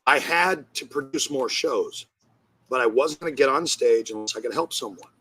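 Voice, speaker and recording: A man giving a personal monologue in a husky voice, blunt and profane, pausing between phrases. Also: medium pitch, sharp tone, rough recording. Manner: blunt, profane, halting with pauses. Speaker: comedian and charity founder.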